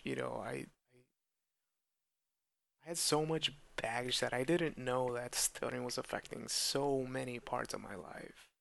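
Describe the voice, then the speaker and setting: Slow, earnest young man who stutters. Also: medium pitch, vocal fry, bright, forceful tone, dry recording. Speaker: podcast host who stutters. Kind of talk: personal reflective monologue.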